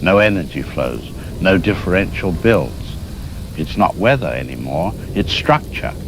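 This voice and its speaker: A man playing a grave, resigned climate scientist giving a measured final report in an apocalyptic audio drama. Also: low voice, big pitch swings, heavily acted. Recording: noisy broadcast with storm ambience.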